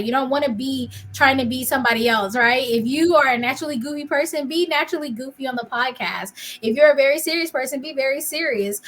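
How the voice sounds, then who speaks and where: A woman's voice: a little high, a little hiss or hum. A woman hosting a podcast interview, chatty and encouraging, with frequent fillers. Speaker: podcast host.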